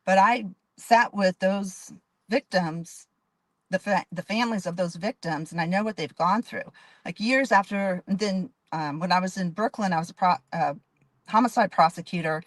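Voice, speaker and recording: A woman in her fifties with a fairly low voice speaks earnestly as a judge in an interview. She lays out her experience in long, detailed answers and often restarts her sentences. The sound is close and dry. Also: moderate pace, no echo. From Dallas, Texas. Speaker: judge and candidate.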